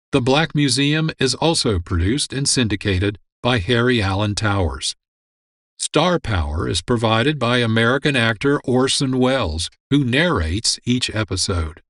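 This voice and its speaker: A man's voice: mid-range voice, a bit bright, no room sound. Dry, close-sounding male radio host. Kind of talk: scripted presentation.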